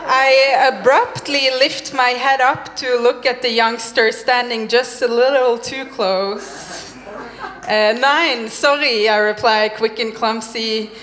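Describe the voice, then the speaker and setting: A young woman from Norway, performing spoken-word poetry on a mic at a live venue, with slow, deliberate pauses. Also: fairly high pitch, steady tone, fairly bright tone. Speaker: poet. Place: live event venue, indoors. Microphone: stage microphone. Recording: echoey room, faint ambience.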